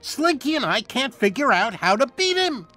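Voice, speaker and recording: An actor playing an excitable, anxious toy dinosaur in a video game, gushing and stammering like an eager fan. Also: male voice, very high voice, moderate pace, soft background music, full character voice. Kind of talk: animated video game dialogue.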